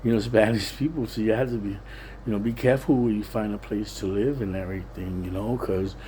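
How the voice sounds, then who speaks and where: A man's voice: fairly low pitch, fairly even intonation, fairly quick, dark tone, a little hiss or hum, poor audio. A plain-spoken, streetwise Puerto Rican man giving a casual, rambling, repetitive monologue. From Puerto Rican, living in Pennsylvania, USA. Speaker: vlogger.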